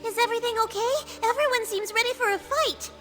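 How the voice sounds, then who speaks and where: A woman voicing an eager, devoted young girl disciple in a video game, sweet, soft and slightly hesitant. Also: very high voice, fast, faint music behind. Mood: eager, concerned. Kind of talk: video game character acting.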